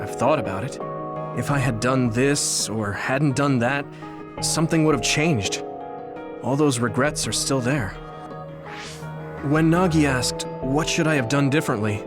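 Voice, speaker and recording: A man's voice: medium pitch, a little slow, long pauses, music in the background, close to an everyday voice. An actor playing an earnest, thoughtful young male soldier hero in a video game, speaking sincerely and reflectively. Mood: reflective, resolute. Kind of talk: English video game voice acting, dramatic dialogue.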